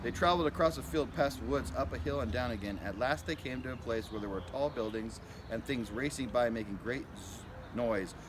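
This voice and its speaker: A man's voice: mid-range voice, fairly quick. Man reading a storybook, noisy background. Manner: simple, child-directed narration with asides about the pictures. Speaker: school principal reading to children. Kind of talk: picture book read-aloud.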